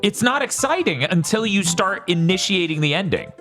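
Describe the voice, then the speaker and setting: A man's voice: high voice, very animated, moderate pace, a bit bright, soft background music. A man hosting a casual podcast chat about games and films, joking and riffing with mock dialogue. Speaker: podcast host.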